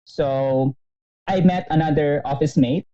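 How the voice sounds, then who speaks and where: A man in his thirties, a podcast guest telling his story in a casual, animated way, laughing often and restarting sentences. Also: a little high, unhurried, dull audio. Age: 30s.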